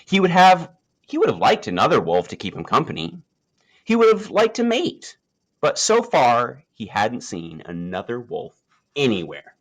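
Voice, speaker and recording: Very animated man reading a storybook aloud. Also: medium pitch. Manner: expressive, emphatic phrasing with dramatic pauses. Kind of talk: scripted children's nature story read aloud.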